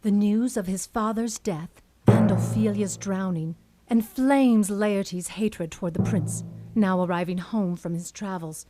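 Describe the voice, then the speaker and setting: A woman's voice: mid-range voice, measured pace, poor audio. Woman narrating a puppet show over music. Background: background music.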